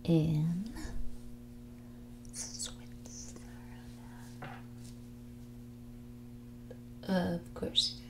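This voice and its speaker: A woman playing a mean, snobbish rich young woman on a date in an ASMR roleplay, mixing breathy whispers with soft speech in a condescending, catty drawl. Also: lowish voice, exaggerated voice. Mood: prying, condescending.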